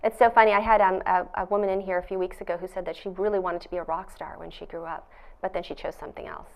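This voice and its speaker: A woman's voice: on the low side, level tone, quick pace, vocal fry. A woman hosting an interview show in a studio, speaking in an effusive, admiring way. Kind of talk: formal scripted introduction, then conversational interviewing.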